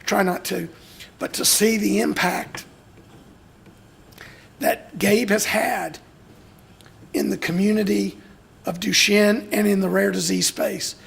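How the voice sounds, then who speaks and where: A middle-aged man from Alabama speaks with a hoarse, gravelly, raspy voice. He gives a heartfelt, emotional speech to an audience, pitched high for a man. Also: steady tone, slow, pauses often, a bit bright. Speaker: speaker at a youth graduation, father of a boy with Duchenne.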